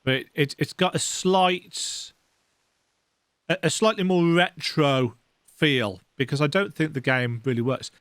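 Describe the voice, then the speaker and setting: Higher-voiced man chatting through a game. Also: a little slow, a bit bright, clean tone, dry recording, studio quality. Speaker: video game streamer. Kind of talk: casual gameplay commentary.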